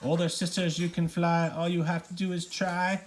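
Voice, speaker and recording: A man's voice: high-pitched, flat delivery, normal speed, slight background noise, voice pushed up, close to an everyday voice. A man voicing a plucky, mischievous young witch girl from a children's storybook. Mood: chanting kindly.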